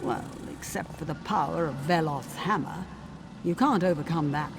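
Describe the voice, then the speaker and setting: A woman's voice: low voice, normal speed, music in the background. A woman playing a sly, gleeful supernatural storyteller in a fantasy video game, theatrical and relishing each word. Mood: sly excitement. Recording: clearly noisy background.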